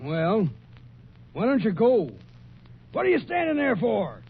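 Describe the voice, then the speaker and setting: High-pitched, cantankerous old-west prospector. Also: male voice, measured pace, vocal fry, husky, full character voice. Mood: exasperated. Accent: American. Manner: excitable, folksy backwoods grammar. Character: cantankerous, superstitious old-west male prospector, quick-tempered. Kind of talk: 1940s radio western acting. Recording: muffled, noisy old radio recording.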